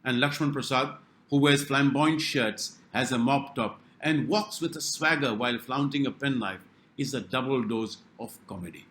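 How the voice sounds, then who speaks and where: A man's voice: medium pitch, normal speed, fairly bright tone, slight background noise. Man narrating in an echoing room. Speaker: film review narrator. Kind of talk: scripted narration.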